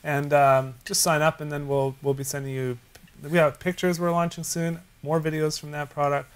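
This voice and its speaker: A man's voice: on the high side, little pitch movement, moderate pace, smooth. A man hosting a live drum lesson stream, chatting casually and now and then tripping over words. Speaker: co-host of a live lesson show.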